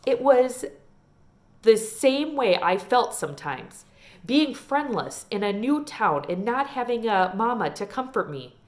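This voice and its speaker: A woman narrating a children's novel aloud as an expressive storyteller. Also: fairly high pitch, measured pace.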